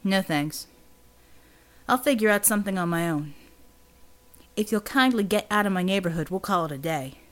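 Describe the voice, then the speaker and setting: A woman voicing a gruff, sardonic male loner in an audiobook, terse and folksy, guarded with strangers. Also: medium pitch, lively intonation, normal speed, long pauses, natural voice. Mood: firm, dismissive. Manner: terse, drawling, folksy, dropped words.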